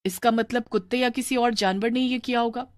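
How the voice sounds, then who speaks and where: Woman as young village sister-in-law, bold, quick-witted, highish voice, melodramatic storytelling, dry recording. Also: no room sound, lightly acted. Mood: earnest, resolute. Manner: expressive, melodramatic.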